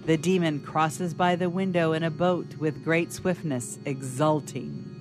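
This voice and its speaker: A woman's voice: low-pitched, fairly even intonation, unhurried, music in the background. A woman narrator reading scripted stage directions for a radio drama.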